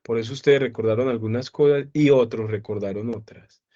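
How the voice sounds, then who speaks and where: Man, psychology teacher, online lecture, mid-pitched voice, dull lo-fi audio, checks in with his students. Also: warm tone. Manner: explains slowly with repeated questions to students.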